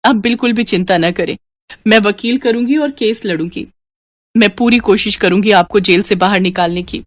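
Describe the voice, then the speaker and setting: A woman's voice: mid-range voice, normal speed, no echo, natural voice. Woman acting an earnest, devout, determined wife. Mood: reassuring. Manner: earnest, reassuring. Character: devout, devoted young mother and wife, determined.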